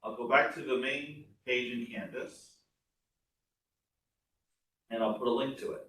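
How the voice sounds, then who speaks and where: A man's voice: medium pitch, unhurried, sharp tone, a little hiss or hum. A man giving an informal classroom lecture, explaining code step by step in a conversational way as a university instructor. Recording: echoey room, voice far from mic.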